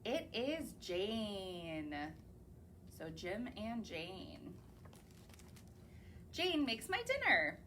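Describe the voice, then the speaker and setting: Animated sing-song woman reading to kids. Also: mid-range voice, very slow, pauses often, background noise. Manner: sing-song, asks the listening children questions. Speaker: children's librarian. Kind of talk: reading picture books aloud to young children. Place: public library.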